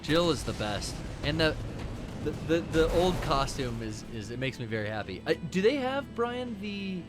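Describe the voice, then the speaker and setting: Animated man chatting over game music. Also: on the high side, normal speed. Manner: lively, reacts loudly to gameplay. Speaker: co-host playing a video game on stream. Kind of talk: casual chat while gaming. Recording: game music playing behind.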